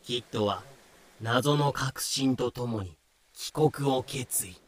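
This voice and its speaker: A man's voice: mid-range voice, slow. A man giving scripted, comedic narration for a pirate audio drama.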